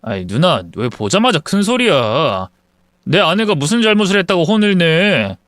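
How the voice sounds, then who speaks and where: A man voicing a married son who answers back defensively and fast. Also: a little high, big pitch swings, a bit bright, dry recording, clean studio sound. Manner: defensive, rapid retorts. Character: defensive married son, quick-tempered younger brother.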